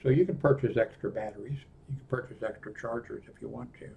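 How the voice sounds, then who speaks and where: An older man with an American accent giving an unscripted product walkthrough in a halting, rambling way that often trails off. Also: medium pitch, vocal fry, a little mellow, highs cut off. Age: 60s or older. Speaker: presenter of a radio equipment review. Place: small indoor room at a desk.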